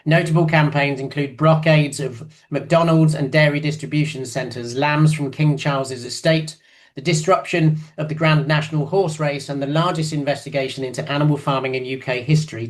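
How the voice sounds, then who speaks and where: A man hosting an evening event, reading a formal introduction of the guest speaker in a measured way. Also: a little high, fairly even intonation. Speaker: event host.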